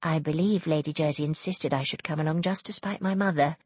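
A woman voicing a mild, candid young society lady in an audiobook, polite and even-tempered. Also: deep voice, fairly quick, husky, no room sound. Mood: candid, matter-of-fact.

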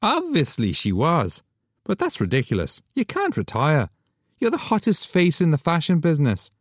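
A man voicing a flirtatious, excitable photographer who speaks in a teasing, exclamatory way. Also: fairly high pitch, moderate pace, no room sound, voice pushed up. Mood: teasing, incredulous. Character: Irish male photographer, flirtatious, brash, excitable.

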